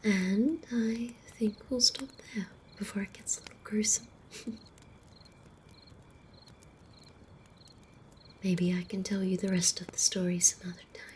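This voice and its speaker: A woman playing a wry female vampire, telling a tale in a soft, breathy half-whisper with measured, deliberate pauses, in ASMR roleplay style. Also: medium pitch, steady tone, a little hiss or hum, lightly acted. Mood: teasing, gentle. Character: female vampire storyteller, wry, protective, faintly condescending to humans. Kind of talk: ASMR roleplay, slow hushed campfire storytelling. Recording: faint outdoor night ambience with crickets. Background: crickets and night insect ambience.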